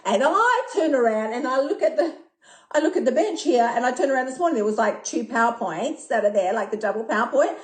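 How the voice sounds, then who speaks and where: A woman in her fifties who talks excitably, swears a lot and laughs often during a casual live stream. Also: a little high, fairly quick. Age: 50s. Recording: echoey room.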